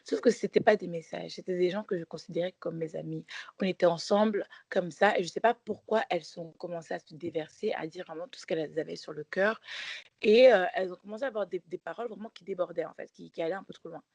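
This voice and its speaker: A woman with a lowish voice, recounting a story in a casual podcast chat. She rambles, doubles back and fills pauses as she talks. Her voice sounds close and dry, with no room around it. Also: gravelly, darkish tone, husky, dry recording. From Belgium. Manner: rambling storytelling with many restarts and fillers. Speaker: podcast co-host.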